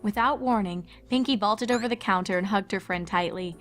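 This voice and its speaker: A woman narrator reads a scripted story at a medium pitch. Soft music sits faintly behind the narration. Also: brisk.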